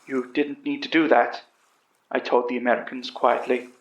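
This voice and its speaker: A man's voice: medium pitch, flat delivery, moderate pace, natural voice. A man playing an elderly English professor who earnestly recounts a horror story, in a roomy, slightly dull recording. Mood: grave. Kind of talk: first-person horror audio drama narration.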